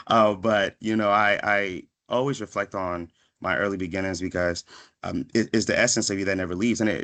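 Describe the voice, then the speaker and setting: Guy in his 30s w a lowish voice, talking to students about his path, reflective, conversational motivational talk. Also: a bit bright. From born in Ghana, raised in Washington, D.C. area from age five. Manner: reflective, conversational, often checks in with "right?". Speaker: guest speaker, psychiatric pharmacist. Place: online video session.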